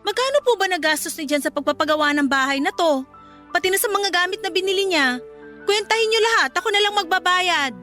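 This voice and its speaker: A woman's voice: extremely high pitch, lively intonation, fast, bright, forceful tone, soft background music, heavily acted. A woman playing an aggrieved, defensive live-in partner standing up for herself in a Filipino radio drama. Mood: defiant. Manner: pleading, defensive.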